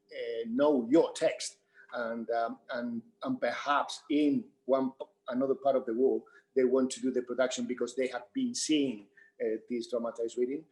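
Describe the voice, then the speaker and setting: A middle-aged man with a Spanish accent, a theatre director answering in an unscripted Q&A, speaking English as a second language in halting, repeated phrases. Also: mid-range voice, a little mellow, rough recording. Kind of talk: unscripted panel Q&A talk.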